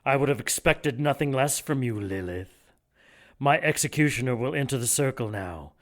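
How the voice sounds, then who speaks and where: An actor playing a menacing, pompous leader of a religious order in an audio drama, speaking formally and gloatingly. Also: male voice, mid-range voice, lively intonation, normal speed, natural voice. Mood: commanding.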